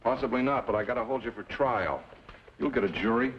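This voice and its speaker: An actor playing a stoic, weary frontier marshal who looks out for himself, measured and matter-of-fact, in an old-time radio western. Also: male voice, mid-range voice, animated, lightly acted. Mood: resigned. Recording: dull, noisy old radio recording.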